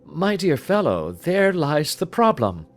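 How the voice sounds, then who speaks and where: A man voicing a confident, analytical gentleman detective in an audiobook, speaking in a precise, measured, lecturing manner. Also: a little high, huge pitch swings, soft background music, voice pushed up, exaggerated voice. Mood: assured, explanatory. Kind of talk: audiobook character dialogue.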